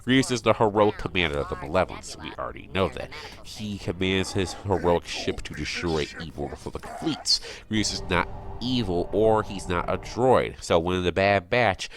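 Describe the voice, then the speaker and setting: A man with a low-pitched voice speaks as an online commentator. He rants like an opinionated fan, pressing his points insistently and circling back to them with exasperation. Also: lively intonation, normal speed.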